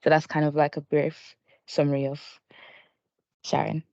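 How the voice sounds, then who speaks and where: A woman in her twenties from Nigeria, soft-spoken as a guest on a panel talk. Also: deep voice, little pitch movement, normal speed, dry recording. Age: 20s. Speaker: panel guest, junior project manager. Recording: dull lo-fi sound.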